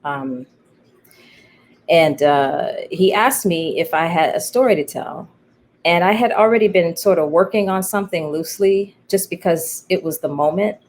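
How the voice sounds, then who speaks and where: A woman playwright speaking as an interview guest, telling her story in a candid, thoughtful, halting way. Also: lowish voice, a little hiss or hum. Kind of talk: reflective conversational storytelling.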